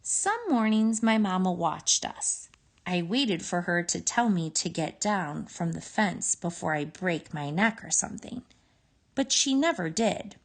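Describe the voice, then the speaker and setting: Unhurried woman reading a story, lively intonation. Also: lowish voice. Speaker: storybook reader. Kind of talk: expressive children's story read-aloud.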